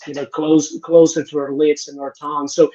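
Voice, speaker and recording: Man, middle-aged, raised mellow voice, lo-fi call sound, reflective panel talk. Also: on the high side, flat delivery, normal speed. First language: Portuguese. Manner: reflective, many restarts and "right?" tags. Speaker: panel guest, innovation consultant. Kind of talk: conversational panel discussion.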